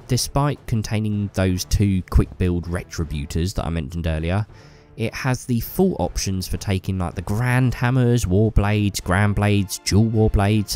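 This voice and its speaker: Deep male vlogger, stuffy cold, enthusiastic and chatty. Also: a little mellow. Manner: enthusiastic, rambling with fillers. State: bunged up with a cold. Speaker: YouTuber. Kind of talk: casual hobby vlog talk.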